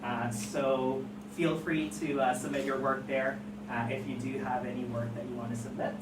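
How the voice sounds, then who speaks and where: Halting event host in an echoey, noisy room. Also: medium pitch, flat delivery. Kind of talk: informal event announcements. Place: indoor event room, recorded from afar. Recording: echoey distant room recording, loud noise.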